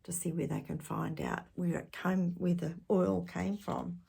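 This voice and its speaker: A woman's voice: lowish voice, fairly even intonation, a little slow, gravelly, mellow, slightly rough. A woman storyteller reading aloud to children expressively, with chatty asides and questions to her listeners.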